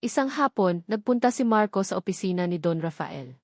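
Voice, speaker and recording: Filipino woman reading a dramatic story script, mid pitch, really dry recording. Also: expressive, brisk, a little mellow, dry recording. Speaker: narrator.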